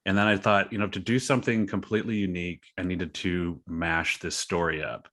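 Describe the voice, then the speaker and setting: A man talking casually as an interview guest about his own creative work, halting and a little self-deprecating. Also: deep voice, flat delivery, a bit bright. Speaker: guest, creator of a horror thriller story.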